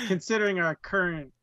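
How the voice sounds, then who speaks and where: A man chats about a book, laughing easily and hesitating with fillers. He speaks slowly in a slightly higher voice. His mic sounds dull and lo-fi, with keyboard typing behind him. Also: fairly bright tone, no echo. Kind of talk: casual book discussion.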